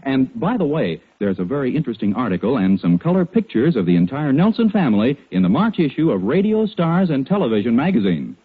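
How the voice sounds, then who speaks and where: A man working as a radio announcer, delivering a 1940s-style advertising pitch. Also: big pitch swings, brisk, few pauses, slightly rough. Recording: tinny, lo-fi 1940s radio recording.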